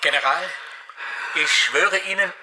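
Pleading high-voiced officer, acted by a man. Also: measured pace, noisy background, close to an everyday voice. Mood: desperate pleading. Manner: urgent, protesting. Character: frightened, pleading male subordinate officer. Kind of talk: radio drama acting.

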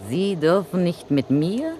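A woman's voice: lowish voice, very animated, a little slow, mellow, slight background noise. An actress playing a brazen, street-wise woman blackmailer from the underworld in a German radio crime play, with cheeky, slangy, taunting delivery. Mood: smug, threatening.

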